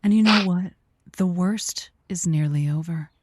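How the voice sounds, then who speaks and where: A woman playing a sincere, theatrical radio advice host who speaks in a measured, dramatic way. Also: lowish voice, a little mellow, dry recording, studio quality. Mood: tender, hushed. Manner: measured, dramatic, preacher-like when urging. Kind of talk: audio drama radio call-in acting.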